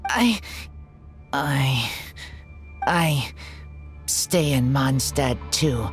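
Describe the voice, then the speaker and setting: A man voicing a shy, loyal teenage boy raised in the wild, speaking in short halting phrases in a video game. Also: medium pitch, soft background music. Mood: worried, earnest. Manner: halting, terse, slow. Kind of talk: scripted video game voice acting.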